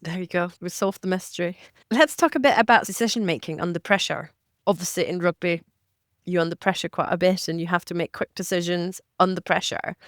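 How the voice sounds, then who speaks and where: A woman's voice: fairly low pitch, brisk, no room sound, clean studio sound. A woman co-hosting a podcast, interviewing a guest in a friendly way with long, searching questions. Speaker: podcast co-host.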